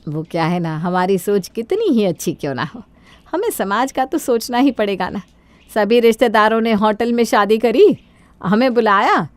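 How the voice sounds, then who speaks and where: A woman's voice: a little high, no echo. A woman voicing a greedy, sly middle-aged mother-in-law who feigns modesty in a sugary, wheedling way for a Hindi cartoon story.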